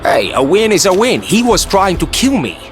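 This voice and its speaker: Sarcastic, cocky mercenary game hero. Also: male voice, high voice, big pitch swings, moderate pace, slight background noise. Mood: boastful. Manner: sarcastic, flippant. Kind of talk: video game cutscene acting. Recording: music behind the voice.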